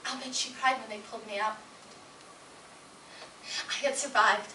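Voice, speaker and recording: A woman performing a dramatic stage monologue as a scarred, disturbed daughter yearning for her mother, slow and hushed with sudden swells. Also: on the high side, steady tone. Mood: chilling, mournful. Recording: distant, echoey room with faint noise.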